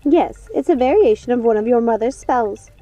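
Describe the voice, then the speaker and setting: A woman's voice: a little high, expressive, moderate pace, warm tone, no echo, soft background music, pitched above the performer's own voice, natural voice. A woman voicing a haughty, controlling witch sister in an audiobook, insistent and placating with a condescending, falsely innocent manner. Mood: feigned innocence. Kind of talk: dramatic audiobook character voice.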